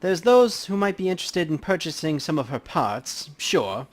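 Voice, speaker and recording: Guy doing a chatty coroner for a game, folksy, kinda high, pitch jumps around a lot. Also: big pitch swings, moderate pace. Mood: chatty, upbeat. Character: chatty, folksy male coroner at a crime scene. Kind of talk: acting out video game dialogue.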